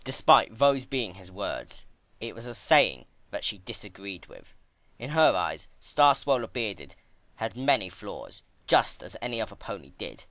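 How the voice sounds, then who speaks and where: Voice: medium pitch, sing-song, a little slow, a bit bright, dry recording. A narrator giving a dramatic scripted reading of a story.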